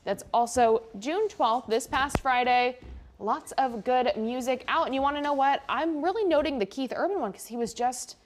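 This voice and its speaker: A woman's voice: high voice, normal speed. A woman with an American accent chatting casually and informally as a TV news show co-host. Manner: chatty, informal, frequent "like" and "oh my gosh". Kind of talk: casual unscripted studio chat.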